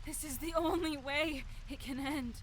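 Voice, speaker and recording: A woman playing a defiant, desperate giant with fire powers in a forceful, dramatic audio drama style. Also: high-pitched, normal speed, slight background noise, lightly acted. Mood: desperate, pained.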